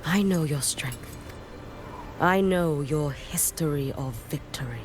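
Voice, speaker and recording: Low, slow, solemn warrior woman. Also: over music. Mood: solemn, measured. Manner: formal, measured, grave. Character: solemn, stoic female warrior and seal keeper. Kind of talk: scripted video game voice acting.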